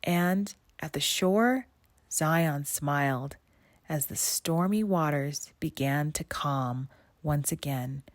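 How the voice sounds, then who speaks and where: A woman reading a children's story aloud in an expressive, deliberate storytelling manner with dramatic pauses. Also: sits low, no room sound. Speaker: storybook reader. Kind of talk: scripted read-aloud narration.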